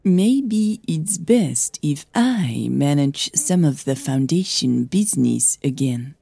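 A woman's voice: sits low, lively intonation, slow, gravelly, mellow, breathy edge, no echo, heavily acted. A woman voicing a composed, wealthy older mother with dry amusement and frankness in an audio drama.

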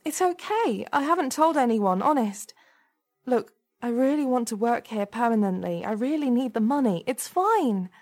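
Woman acting a shaky, high-voiced nervous office temp. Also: big pitch swings, slow pace, hardly any pauses, a little mellow, slightly rough, exaggerated voice. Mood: desperate pleading. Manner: pleading, hesitant. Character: nervous, desperate young female office temp.

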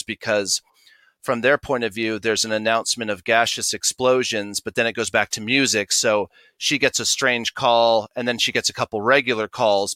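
A man with an American accent speaks as an interview guest, explaining his production work in detail. His voice is bright and crisp, with a very dry, close sound. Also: medium pitch, no echo. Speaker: podcast guest, audio drama producer. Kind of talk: interview answers, explanatory storytelling.

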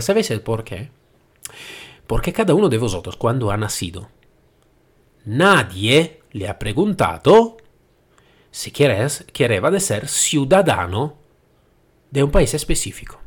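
A man's voice: medium pitch, slightly rough. A middle-aged man from Italy with an Italian accent, giving a solo talk to listeners in an emphatic way with self-corrections. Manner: lively and emphatic, thinking aloud with self-corrections. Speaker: podcast host.

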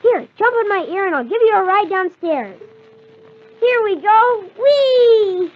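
A kindly boy, speaks in a high, eager voice. The delivery is that of an old children's radio play, heard through a tinny, narrow recording. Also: lively intonation, a little slow. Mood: excited.

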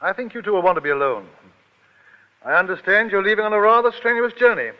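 An actor playing a suave, courtly, very wealthy suitor in a 1930s radio drama, with polished, formal, gallant speech. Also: male voice, sits high, quick pace, natural voice. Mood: gracious, rueful. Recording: vintage 1930s radio, dull, hall reverb.

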